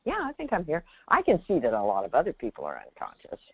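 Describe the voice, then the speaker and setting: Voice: mid-range voice, wildly swooping pitch, fast, slightly rough. A host guiding a call-in discussion in a reflective, conversational teaching style over a phone line. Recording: narrow tinny phone line. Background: birdsong from the caller's line.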